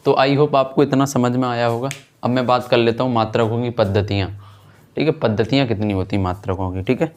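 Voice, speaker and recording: A man's voice: medium pitch, steady tone, a little fast, a little hiss or hum. A man teaching a physics class, asking and answering his own questions and repeating key terms. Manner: frequent check-in tag after points. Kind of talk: classroom lesson, explaining while writing on a board.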